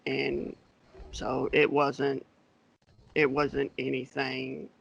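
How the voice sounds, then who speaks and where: A man being interviewed as an accountant, talking in a relaxed, hesitant way with many fillers. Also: mid-range voice, extremely slow, creaky, slightly rough, lo-fi sound. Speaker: accountant guest. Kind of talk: casual podcast interview.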